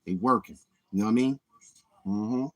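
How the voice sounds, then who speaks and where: A man's voice: lowish voice, normal speed. A man hosting his own channel in a boastful, taunting livestream monologue, swaggering and repeating himself. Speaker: YouTube channel host.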